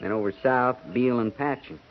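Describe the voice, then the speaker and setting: A man's voice: mid-range voice, moderate pace, lightly acted. Dutiful cavalry sergeant voiced by a man, plain and respectful, dull hissy old radio. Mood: deferential. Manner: deferential, plain-spoken. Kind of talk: 1950s radio drama acting. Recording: muffled 1950s radio broadcast, faint hiss.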